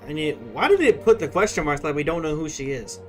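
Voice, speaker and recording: Young male streamer: casual, foul-mouthed commentary, lively intonation, slightly high pitch. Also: quick pace, music in the background. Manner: profane, slangy. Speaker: streamer reacting to a game.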